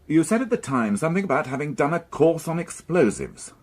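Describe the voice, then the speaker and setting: An actor playing a brusque, exasperated police inspector in a radio crime drama. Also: male voice, fairly high pitch, sing-song, fairly quick. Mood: grave. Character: brusque middle-aged male police inspector. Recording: radio drama with background noise.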